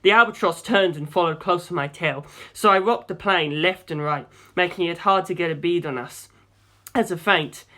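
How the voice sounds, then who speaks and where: A male audiobook narrator with a fairly high speaking voice for a man. He reads scripted first-person adventure prose as a storyteller. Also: normal speed, sharp tone.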